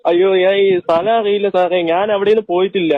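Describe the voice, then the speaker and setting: A man's voice: sits high, fairly even intonation, fast talker, no room sound. A man making a prank call, pleading with feigned innocence through a radio-like line. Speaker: prank caller. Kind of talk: mock-earnest phone conversation. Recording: dull, band-limited phone line.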